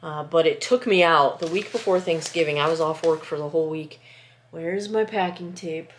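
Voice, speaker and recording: A woman's voice: sits low, normal speed, slight background noise. A woman chatting casually while she packs skincare orders, sniffly and congested from a lingering cold, rambling and often cutting herself off to apologise. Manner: rambling, self-interrupting, apologetic. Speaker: small-business skincare maker. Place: at home, indoors, packing table. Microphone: camera set close by.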